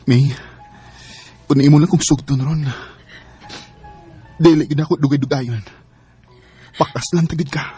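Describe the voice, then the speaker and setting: A man acting an earnest, gentle suitor in a Bisaya radio drama, with a Cebuano accent. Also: a little high, steady tone, measured pace, long pauses, husky, soft background music. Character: earnest, gentle male suitor courting a single mother.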